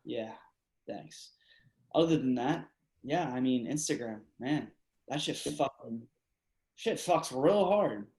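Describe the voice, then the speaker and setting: A man's voice: mid-range voice, lively intonation, slow, pauses often, a little mellow. A man reading the part of a drunk, reckless man in his twenties in a play, loose, crude and slangy, on a video call. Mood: drunk, rambling. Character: drunk, reckless twenty-six-year-old party guy. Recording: echoey room on video call.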